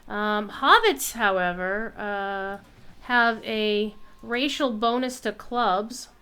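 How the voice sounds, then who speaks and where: A woman hosts a casual gameplay stream. Her voice is bright and crisp, and she takes her time, talking half to herself and half to the people watching. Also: medium pitch, slow. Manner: talks to herself and viewers. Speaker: game streamer. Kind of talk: casual live gameplay chat.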